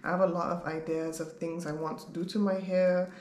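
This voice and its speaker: Casting: woman, 30s, lowish voice, candid vlog chat, rambling delivery, roomy home recording with background noise. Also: flat delivery, brisk, a little mellow. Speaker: vlogger. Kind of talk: casual chat to camera. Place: bare-walled room at home, indoors.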